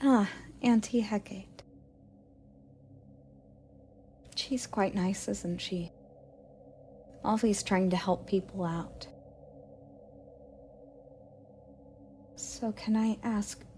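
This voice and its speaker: A woman playing a curious, playful young goddess in an audio roleplay, sweet and expressive with a slightly haughty streak. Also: mid-range voice, slow pace, a little hiss or hum, close to an everyday voice. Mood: puzzled. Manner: inquisitive, expressive, cooing at a pet. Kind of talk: romantic audio roleplay addressed to the listener.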